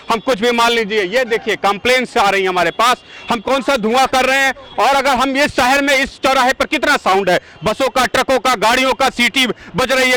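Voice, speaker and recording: Forceful high-voiced campaigner man. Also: low-quality recording. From Azamgarh, India. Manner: forceful, rhetorical, indignant questioning. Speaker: yajna organizer, campaigner. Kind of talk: street interview. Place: outdoors at a busy road junction.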